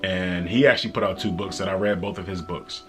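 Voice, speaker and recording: A man's voice: deep voice, fairly even intonation, a little fast, fairly bright tone, soft background music. An American man talking casually and earnestly to viewers as a vlogger, in a slangy, conversational, motivational way. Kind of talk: casual motivational book talk to camera.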